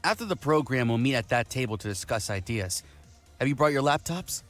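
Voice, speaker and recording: A composed, businesslike young man leading his team in an anime-style game, voiced by an actor. His medium-pitched voice moves with lively intonation over faint music. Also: a little fast, lightly acted. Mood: brisk, businesslike. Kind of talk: anime-style visual novel voice acting.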